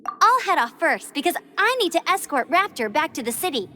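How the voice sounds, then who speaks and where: A woman's voice: very high-pitched, big pitch swings, a little fast, sharp tone, a little hiss or hum, heavily acted. A woman playing a perky, bold young female scout in a video game, eager, earnest and chatty. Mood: brisk. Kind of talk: video game character acting.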